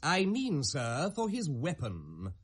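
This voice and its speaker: Foppish British young courtier: fawning and affected, highish voice swooping wildly, classical radio drama. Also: male voice, huge pitch swings, slow pace, slightly rough, exaggerated voice. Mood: fawning, eager. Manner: affected, obsequious, tittering. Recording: 1970s radio drama recording.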